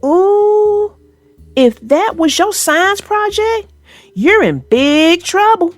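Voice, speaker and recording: A woman's voice: very high voice, sing-song, extremely slow, no room sound, faint music behind, voice pushed up, full character voice. A woman voicing a sassy, nosy little sister in a children's storybook, with a sing-song taunting manner. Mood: teasing. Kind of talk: children's storybook character reading.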